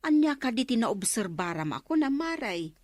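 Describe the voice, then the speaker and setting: A woman's voice: a little high, big pitch swings, normal speed, darkish tone, no room sound, clean studio sound. A woman with an Ilocano accent playing an unbothered village woman who questions and rebuffs gossip in a radio comedy drama. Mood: dismissive.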